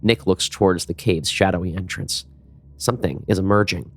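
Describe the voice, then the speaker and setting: A man reading scripted screenplay narration for an audio drama, measured and ominous in his storytelling. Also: sits low.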